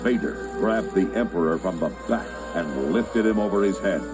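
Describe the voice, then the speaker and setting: A man's voice: low voice, normal speed. A man reading scripted, dramatic narration for a storybook. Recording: loud music under narration.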